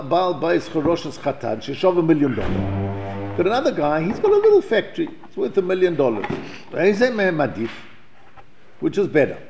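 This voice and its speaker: A man's voice: fairly high pitch, a little mellow, slight background noise. A man from South Africa teaching a Torah class, reading Hebrew passages and explaining them in English, with frequent restarts and repeated words. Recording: lo-fi, dull recording.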